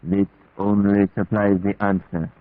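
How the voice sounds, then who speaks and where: Man as a grave, low-voiced old professor. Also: flat delivery, dry recording, close to an everyday voice. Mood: grave, insistent. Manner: solemn, deliberate, foreign phrasing. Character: grave, insistent old Dutch professor, vampire hunter. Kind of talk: old-time radio drama acting. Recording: muffled, narrow-band old radio recording.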